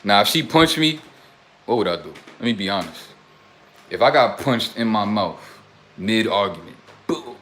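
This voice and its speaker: A man's voice: fairly low pitch, expressive, moderate pace, pauses often, fairly bright tone. A young man casually livestreaming to his chat, talking in loose streetwise slang, blunt and preachy, rambling from one topic to the next. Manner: rambling, drifts between topics. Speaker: self-styled life coach.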